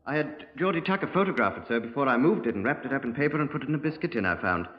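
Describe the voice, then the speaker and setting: An actor playing an eager, obedient police sergeant with a British accent, earnest and hurried, in a 1950s radio drama. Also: male voice, mid-range voice, animated, close to an everyday voice. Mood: eager, flustered. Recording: muffled, echoey old 1950s radio recording.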